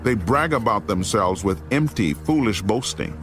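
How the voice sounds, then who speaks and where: Male actor as grave apostle warning his flock, lowish voice, oratorical, deliberate, dramatized scripture, faint music underneath. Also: lively intonation, lightly acted. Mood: stern, denouncing.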